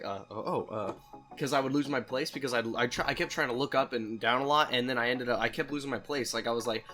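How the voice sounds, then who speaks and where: A man's voice: medium pitch, bright, forceful tone. A man in his late teens telling personal stories in a casual, rambling, candid and self-deprecating way, swearing freely like a YouTuber on a solo talk show. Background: background music.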